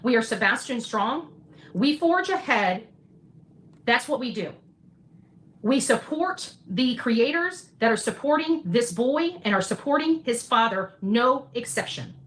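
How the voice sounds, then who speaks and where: A woman's voice: fairly high pitch, expressive, a little slow, fairly bright tone. A woman giving an emphatic, declarative livestream monologue, repeating phrases for weight. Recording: noisy replayed livestream audio.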